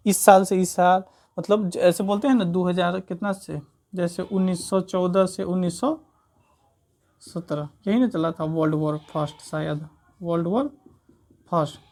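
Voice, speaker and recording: A woman's voice: on the low side, steady tone, moderate pace, dark tone. A woman with an Indian accent teaching grammar informally, explaining step by step with repetition and frequent check-in tags.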